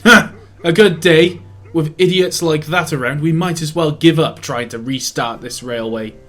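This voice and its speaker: A man's voice: medium pitch, animated, unhurried, a bit bright. A man voicing an irritable, proud railway owner in an audio drama, blustering and exasperated.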